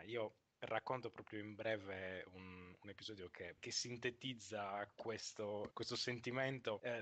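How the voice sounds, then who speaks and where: A man telling anecdotes in casual podcast chat, wordy and halting, with ironically formal phrasing. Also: on the low side, a bit bright. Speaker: podcast co-host.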